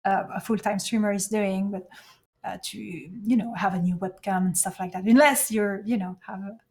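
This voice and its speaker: A woman from Belgium with a French accent, a native French speaker, chatting easily as a podcast guest and often laughing or pausing to find her words. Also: mid-range voice, fairly even intonation, a little mellow. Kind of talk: casual interview chat.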